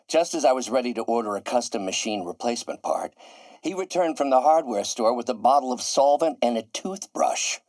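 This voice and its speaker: An actor voicing a grandiose, middle-aged scientist turning villain in a video game, theatrical and erudite, with precise enunciation. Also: male voice, medium pitch, darkish tone, no room sound, lightly acted. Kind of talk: video game voice acting, dramatic monologue.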